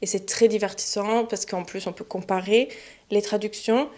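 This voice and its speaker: A young woman, a French teacher, giving a relaxed spoken lesson to learners, chatty and often pausing with fillers and restarts. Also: medium pitch. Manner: chatty, many fillers and self-corrections.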